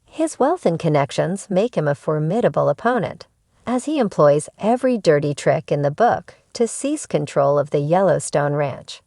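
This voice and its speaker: A woman's voice: sits low, big pitch swings, moderate pace, vocal fry, mellow, no room sound, clean studio sound. A woman narrating a scripted entertainment news video.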